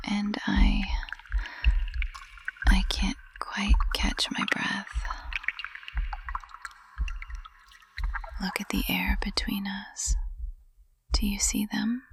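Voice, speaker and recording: A woman playing a composed witch professor unraveling with love in an intimate ASMR roleplay, whispering in a breathy, husky, halting and tender way. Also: mid-range voice, fairly bright tone. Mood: breathless, flustered. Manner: slow, halting, tender, flustered.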